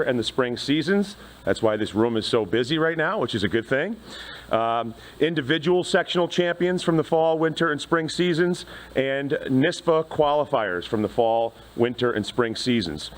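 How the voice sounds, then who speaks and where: Guy with a big loud voice reading out athlete awards at a podium, medium pitch. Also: rough recording. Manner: loud-voiced, announcing names and accolades. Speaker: athletics director presenting student awards. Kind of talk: public address at a board meeting. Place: crowded meeting room. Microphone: podium microphone.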